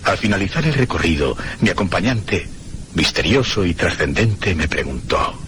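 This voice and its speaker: Low, solemn storyteller of mysteries. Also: male voice, expressive, fairly bright tone, slight background noise. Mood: mysterious, awed. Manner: solemn, deliberate, suspenseful repetition. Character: haunted one-armed male traveller recounting mysteries, solemn, troubled. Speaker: narrator and protagonist. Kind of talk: dramatic scripted radio narration.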